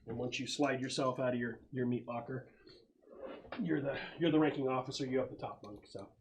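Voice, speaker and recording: A man acting as game master, narrating a tabletop roleplaying game in a halting, conversational way. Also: mid-range voice, fairly even intonation, noisy background.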